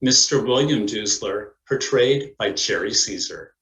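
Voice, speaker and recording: A man narrating and announcing in a formal, measured, scripted way as a presenter. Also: fairly low pitch, lively intonation, a bit bright, echoey room, low-quality recording. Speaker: narrator and presenter of a community play. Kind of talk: scripted narration and cast introductions.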